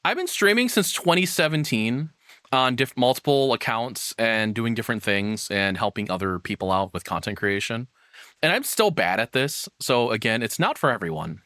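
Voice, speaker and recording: A man's voice: mid-range voice, sing-song, normal speed, pushed and bright, no room sound, clean studio sound. A man giving casual, rambling, opinionated livestream commentary with some swearing. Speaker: streamer reacting to a video.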